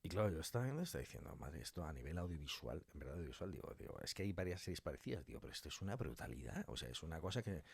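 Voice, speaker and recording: Man, low pitch, dry studio sound, casual interview guest, colloquial creative explaining. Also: very animated, racing, vocal fry, few pauses, breathy edge, no room sound. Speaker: audio fiction writer and director, interview guest.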